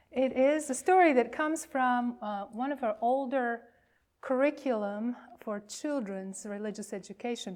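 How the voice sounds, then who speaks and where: Slow, higher-pitched woman minister telling a story. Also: a little mellow. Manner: deliberate phrasing with pauses. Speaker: minister leading worship. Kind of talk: worship reading and children's storytelling.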